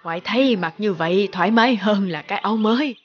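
A woman voicing an affectionate, caring old village grandmother in an animated moral story. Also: medium pitch, quick pace, dull audio, close to an everyday voice. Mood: gentle. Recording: music clearly behind the voice.

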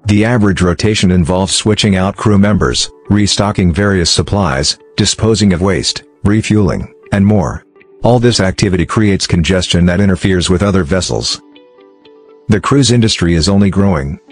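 A man narrates a scripted explainer in a low-pitched voice. His delivery is measured and formal, with music playing softly behind him. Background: background music.

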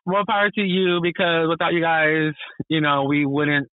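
High-voiced man, thin phone-like sound. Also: moderate pace, no room sound. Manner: relaxed, many fillers like "you know". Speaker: podcast co-host. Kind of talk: casual podcast chat.

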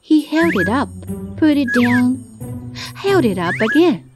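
A woman reads a children's tale in a highish voice. Her storytelling is scripted and very animated in pitch, set over clearly audible music. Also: a little slow.

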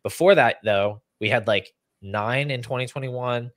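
A man's voice: medium pitch, a little slow, fairly bright tone, dry recording. A young man hosting a fantasy football podcast, talking conversationally and explaining figures in an analytical way. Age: young adult. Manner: explanatory, runs through figures and lists. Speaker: podcast host. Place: small room at home, indoors. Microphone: video call setup.

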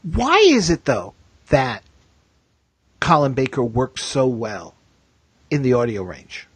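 A man's voice: mid-range voice, huge pitch swings, takes it slowly, slightly rough, no room sound. A man hosting a casual fan discussion, circling around his questions with asides. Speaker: host of a TV fan discussion show. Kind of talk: casual chat.

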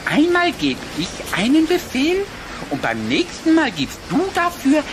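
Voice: high-pitched, huge pitch swings, measured pace, heavily acted. An actor voicing a bossy, wisecracking male cartoon rabbit in a children's audio play, speaking in a teasing, theatrical way. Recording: noisy old cassette recording.

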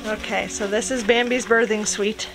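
A woman's voice: medium pitch, steady tone, normal speed, music in the background, low-quality recording. A woman in her thirties chatting casually outdoors on a rural homestead, cheerful and teasing. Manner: cheerful, teasing, coos to animals. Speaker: homesteading vlogger.

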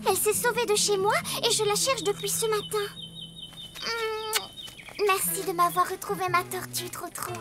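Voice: very high-pitched, steady tone, normal speed. An actor voicing a sweet, playful little girl donkey in a preschool cartoon, with a childlike, singsong way of talking. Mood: insistent. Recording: music behind the voice.

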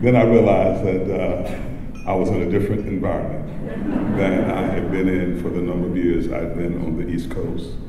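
A man in his seventies giving a measured, reflective acceptance speech at a podium microphone in a large hotel ballroom. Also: low voice, background noise. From Tennessee, US. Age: 70s. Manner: measured, reflective, with long pauses and repeated phrases. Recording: echoey ballroom from far off.

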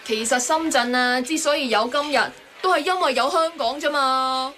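A woman's voice: sits high, normal speed, bright, forceful tone, a little hiss or hum. An actress playing a shrewd, matter-of-fact woman neighbour in a satirical radio drama, in Hong Kong Cantonese. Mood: wry.

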